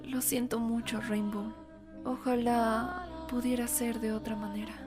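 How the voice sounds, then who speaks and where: A woman voicing a grave, rational female unicorn pony in a solemn, measured cartoon fandub. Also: a little high, music in the background, natural voice. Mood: regretful.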